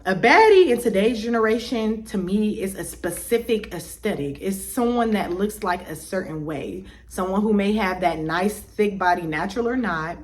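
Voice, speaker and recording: A woman's voice: mid-range voice, lively intonation, normal speed, few pauses. A woman, giving her opinions in a casual vlog and talking in a loose, chatty way with many fillers and restarts. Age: 20s. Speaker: vlogger.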